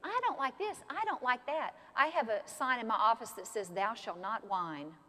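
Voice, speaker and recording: An older woman pastor preaching an animated sermon from a pulpit in a large church sanctuary. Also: fairly high pitch, moderate pace. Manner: animated, expressive preaching with gestures. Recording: long church reverb.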